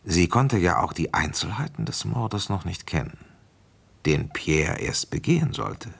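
An actor playing a weary, shrewd provincial policeman recounting an old case in a radio play, deliberate, conversational and wry. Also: sits low, expressive, creaky, fairly bright tone, slightly rough, exaggerated voice. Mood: wry, reminiscing. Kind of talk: German radio play, first-person narration and dialogue.

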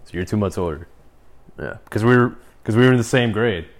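A man in his twenties with a fairly low voice, talking in a loose, slangy style. He sounds like he is hanging out with a friend, rambling casually. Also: fairly quick. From United States. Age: 20s. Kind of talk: casual chat.

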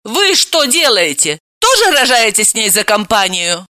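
A woman's voice: a little high, big pitch swings, moderate pace, bright, forceful tone, dry recording, studio quality, pitched above the performer's own voice. A woman voicing a hot-tempered, sarcastic, exasperated young woman in an audiobook, snappish and indignant. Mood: angry. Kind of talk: audiobook character acting.

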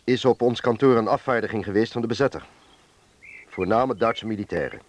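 Low-voiced, earnest factory director played by an actor. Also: male voice, natural voice. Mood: grave, urgent. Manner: measured, explanatory, earnest. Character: earnest male factory director defending collaboration in wartime Netherlands. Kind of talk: Dutch radio drama acting.

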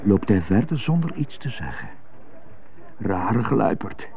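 An actor playing an indignant, brooding stuttering prisoner who mutters to himself in a radio drama monologue. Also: male voice, lowish voice, sing-song, a little slow, gravelly, a crowd in the background, full character voice. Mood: bitter, brooding. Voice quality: stutter on some words. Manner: muttering, self-questioning monologue in short bursts. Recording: muffled narrow phone-like sound, music behind.

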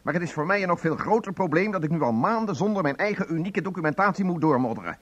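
A man's voice: fairly high pitch, lively intonation, quick pace, muffled recording. An actor playing an aggrieved, bookish man in a divorce, insistent and agitated, in a Dutch radio play. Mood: indignant, urgent.

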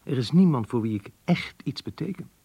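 An actor playing a troubled, self-doubting married schoolmaster in a radio play, speaking in a measured, brooding way. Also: male voice, mid-range voice, animated, dark tone, slightly rough, no room sound. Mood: self-doubting, remorseful. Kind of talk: Dutch radio play acting and monologue.

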